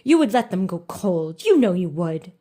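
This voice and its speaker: Woman acting as storybook female duck, brisk, impatient, blunt, scolding, matter-of-fact. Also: lowish voice, sing-song, mellow.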